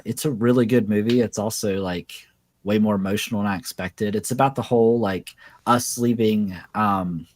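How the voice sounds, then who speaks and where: Slightly raspy, lowish male podcast voice. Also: no echo. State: under the weather. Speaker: podcast co-host. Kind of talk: casual chat.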